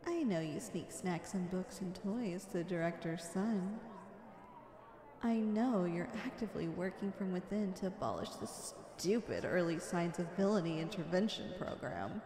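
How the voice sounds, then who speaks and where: A woman's voice: medium pitch, warm tone, close to an everyday voice. A woman playing a flirtatious, teasing supervillain with a streak of righteous anger, in a scripted ASMR roleplay. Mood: earnest, persuasive. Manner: playful, teasing, theatrical. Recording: echoey hall-like reverb.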